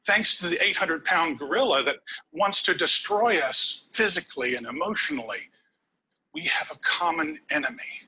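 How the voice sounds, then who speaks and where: A man giving a prepared contest speech over a webcam, with dramatic pauses and words dropped to a whisper for emphasis. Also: on the high side, animated, bright, forceful tone, slightly rough, poor audio. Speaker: contest speaker. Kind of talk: prepared speech delivered online.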